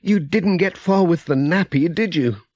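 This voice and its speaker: A man voicing a friendly, brisk middle-aged village woman in a children's storybook, chatty and reassuring. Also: on the high side, a little mellow, slight background noise, voice pushed up, natural voice. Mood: amused, reassuring.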